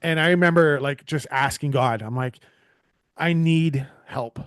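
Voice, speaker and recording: A man's voice: on the high side, unhurried, clear, resonant tone, no room sound, clean studio sound. A man with a Canadian accent hosting a casual interview, talking earnestly in a rambling way full of fillers and restarts. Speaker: podcast host.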